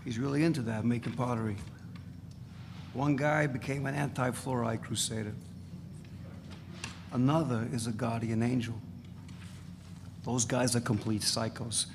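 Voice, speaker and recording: A man's voice: medium pitch, steady tone, measured pace, long pauses, natural voice. Male radical played by an actor, defiant and sardonic, profane ranter, staged reading with hall reverb and faint noise. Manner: emphatic, ranting, sardonic. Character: swaggering, foul-mouthed ageing radical revolutionary. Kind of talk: dramatic reading of a novel before an audience. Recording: noisy, reverberant hall recording.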